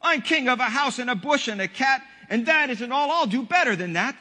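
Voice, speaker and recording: A man's voice: extremely high pitch, animated, brisk, pushed and bright, full character voice. Man putting on a bellowing, pompous turtle king. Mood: gloating. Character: boastful, domineering turtle king, loud and greedy. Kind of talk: children's storybook read aloud. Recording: long hall reverb.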